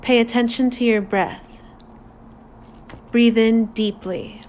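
Very slow-paced woman guiding a meditation. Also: a little high. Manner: calm, deliberate, soothing instruction with long pauses. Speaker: meditation guide. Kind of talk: guided meditation narration.

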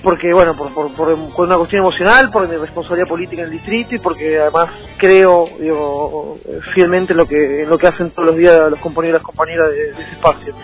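High-voiced Argentine man on phone-like line. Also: fairly even intonation. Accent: Argentine Rioplatense. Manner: halting, repetitive, circling back to rephrase. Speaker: interview guest, local political candidate. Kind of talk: conversational political interview. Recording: thin phone-like line, music behind.

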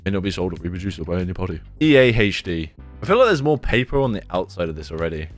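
Guy in his 20s rambling thru a skate game at home, voice kinda rough from a sore throat, lots of "like" and "dude", faint music behind. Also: low voice, big pitch swings, quick pace. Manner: chatty, rambling, says "like" and "dude" a lot. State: deeper voice after a sore throat. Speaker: gaming YouTuber. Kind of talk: casual gameplay commentary. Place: bedroom at home, indoors.

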